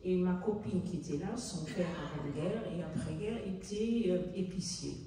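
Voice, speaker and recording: Older woman in an echoey hall. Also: lowish voice. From Netherlands. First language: Dutch. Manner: halting, searching for words, earnest. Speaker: author and guest. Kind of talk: public book talk. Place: large hall at a city hall book fair. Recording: echoey hall from far off, faint noise.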